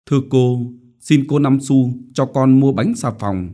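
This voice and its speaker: A man's voice: mid-range voice, measured pace, mellow, voice pushed up, close to an everyday voice. A man voicing a humble, fearful rickshaw puller who pleads timidly. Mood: pleading.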